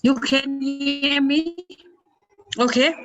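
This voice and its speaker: A woman, a university researcher, introducing herself haltingly on a video call. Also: sits high, steady tone, lo-fi sound, faint music behind. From Laos. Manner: halting, with fillers.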